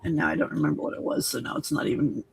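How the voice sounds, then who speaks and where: A woman's voice: low-pitched, big pitch swings, very fast, gravelly, over a noisy crowd. A woman hosting a crafting livestream, chatty and playful, scolding her cat and joking with her co-host. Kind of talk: casual chat while crafting.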